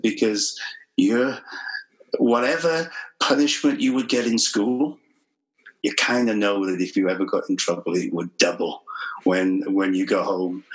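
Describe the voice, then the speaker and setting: A middle-aged man from Wales speaks with a Welsh accent and a fairly low voice. He answers a podcast host's questions modestly, with a reflective and dry wit. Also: a bit bright, rough recording. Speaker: guest. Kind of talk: podcast interview.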